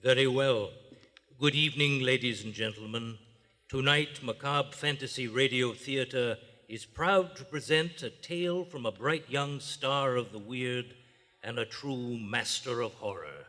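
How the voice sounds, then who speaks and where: A suave, ominous angel of death hosting a horror radio show, played by a man. The voice is formal and macabre with a forceful, clear edge, echoing through a large theater. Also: medium pitch. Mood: ominous, grand. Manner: formal, measured, macabre, dryly playful. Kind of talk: 1940s radio acting, horror show introduction. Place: large theater stage, live performance. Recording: live theater stage, long hall reverb.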